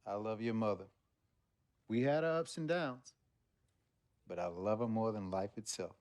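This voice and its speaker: A man's voice: fairly low pitch, moderate pace, a little mellow, close to an everyday voice. An actor playing a proud, loving middle-aged American father, firm but jovial, with an emphatic, folksy way of talking in game dialogue. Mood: proud, earnest. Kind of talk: naturalistic game cutscene dialogue.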